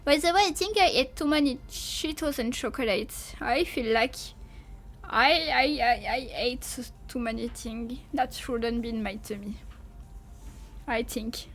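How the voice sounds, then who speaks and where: A French woman with a French accent chatting casually on a livestream, halting and repeating herself as she playfully protests to viewers. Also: a little high. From France. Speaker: livestreamer, VTuber.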